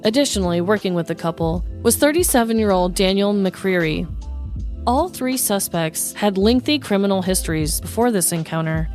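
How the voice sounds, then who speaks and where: A woman's voice: mid-range voice, expressive, normal speed, a little mellow, faint music behind. An American woman narrating a scripted comedic true-crime story as a podcast host.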